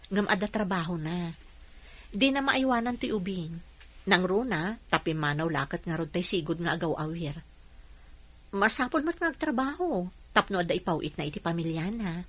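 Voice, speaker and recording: An actress playing a kind, patient young wife in an Ilocano radio drama, reasoning gently. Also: female voice, on the low side, normal speed.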